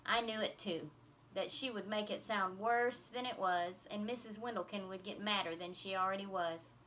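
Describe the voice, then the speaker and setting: A woman's voice: medium pitch, normal speed, a bit bright, a little hiss or hum. A woman reading a children's novel aloud as scripted first-person narration. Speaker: audiobook reader.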